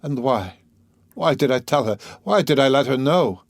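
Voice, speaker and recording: A man reads as a young male killer tormented by guilt, in a storybook drama. He is bitter and self-loathing, speaking in agitated, halting bursts in a close, dry recording. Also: medium pitch, a little mellow, no room sound, voice pushed up, close to an everyday voice. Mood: despairing. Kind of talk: dramatic audiobook character reading.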